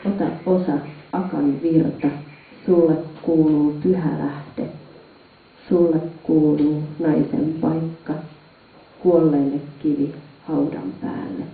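A man with a fairly high voice recites folk incantation verse. He delivers it in measured, chant-like phrases. The recording is thin and phone-like, heard from a distance in a heavily reverberant space. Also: little pitch movement, creaky. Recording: very echoey, distant, dull phone-like sound.

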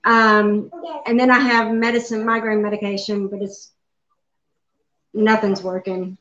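Unhurried woman on a home livestream. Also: medium pitch, flat delivery, a bit bright. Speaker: livestream cooking host. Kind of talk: casual livestream chat. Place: home kitchen, indoors. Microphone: webcam at the table. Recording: echoey, dull webcam audio in a home room.

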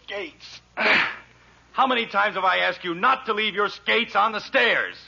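A man's voice: high-pitched, measured pace, creaky, bright, forceful tone, breathy edge, heavily acted. A man playing a grumpy, sarcastic father in 1940s radio sitcom style, exasperated and dry. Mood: stern. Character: grumpy, sarcastic middle-class father and husband. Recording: muffled, hissy 1940s radio broadcast.

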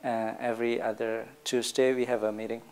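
A man's voice: mid-range voice, level tone, a little fast, darkish tone, clear, resonant tone. A man, answering interview questions hesitantly with many fillers. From Indonesia.

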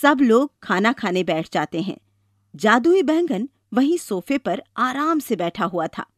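A woman's voice: fairly high pitch, very animated, a little slow, no room sound, clean studio sound. A woman narrating a scripted children's moral story.

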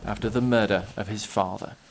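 A man narrating a regional news report in a measured, scripted way. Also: lowish voice, steady tone, slight background noise.

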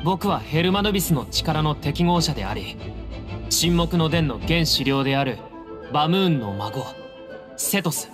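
A man voicing a self-assured young leader of a desert sect in a video game, smooth and confident. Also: fairly high pitch, lively intonation, unhurried. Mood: earnest. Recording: clear background game music.

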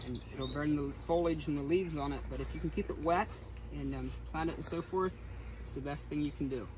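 Young man, fairly high voice, informal explanatory guide talk, handheld video, faint noise. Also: brisk. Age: young adult. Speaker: greenhouse tour guide. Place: large greenhouses and garden center yard. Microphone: camera or phone a few steps away. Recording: clear background noise, handheld camera video.

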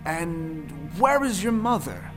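A man playing a gentle, sorrowful old traveler in a folktale audioplay. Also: on the high side, sing-song, slow, voice pushed up. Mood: gentle inquiry. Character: kindly old weary male traveler, secretly young. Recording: music under the voice.

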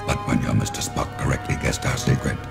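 An immensely deep voice belongs to a giant alien tyrannosaur leader. The growl is heavily creaky, the delivery slow, grave and formal, as in a 1970s audio drama. Loud music plays underneath. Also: male voice, flat delivery, full character voice. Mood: grave, stately.

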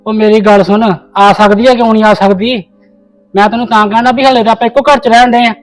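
A man's voice: sits high, fairly even intonation, quick pace, soft background music, close to an everyday voice, rough recording. A man playing an impatient young husband in a family skit, brushing off his wife with annoyed retorts. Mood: annoyed, dismissive. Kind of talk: scripted family comedy skit.

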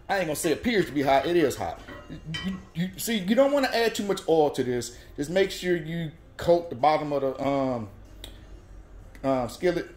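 A man hosting a home cooking show, explaining each step in a folksy, relaxed way. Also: fairly high pitch, slight background noise. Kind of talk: casual cooking demonstration. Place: home kitchen at the stove. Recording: echoey kitchen room. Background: oil frying and sizzling in a skillet.

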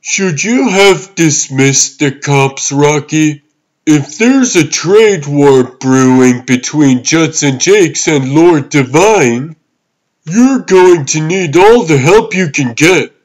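A man's voice: on the high side, animated, takes it slowly, rough recording. A man voicing a proper, fussy old walrus uncle in a comic dub, formal and cautionary, with music behind. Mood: worried. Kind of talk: comic-book dub acting. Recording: music under the voice.

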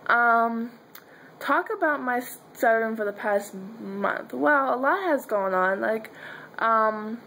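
A woman's voice: fairly high pitch, slow, vocal fry, sharp tone, slight background noise, poor audio. A young woman who stutters, with blocks, repeated sounds and fillers, talking casually to her viewers in a video diary from a dim bedroom. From Pennsylvania, US. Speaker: vlogger. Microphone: webcam or phone held close.

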